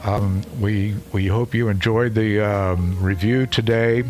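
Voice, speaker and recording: Chatty deep-voiced man reviewing a mic. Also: steady tone, slow, soft background music. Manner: chatty, loose, with frequent fillers. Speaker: microphone reviewer. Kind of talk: casual product review to camera. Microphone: studio microphone under test.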